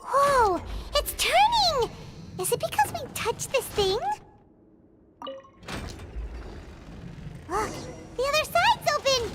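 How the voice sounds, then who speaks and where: A woman voicing a tiny, childlike, excitable fairy companion in a video game, squeaky and exclamatory. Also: unhurried, full character voice. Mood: puzzled, excited. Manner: exclamatory, refers to self in third person. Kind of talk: scripted video game dialogue. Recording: game music behind the voice.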